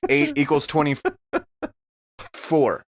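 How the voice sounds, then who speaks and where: A man's voice: a little high, wildly swooping pitch, drawn-out words, no room sound. A man playing a tabletop role-playing game, talking casually and breaking into in-character outbursts.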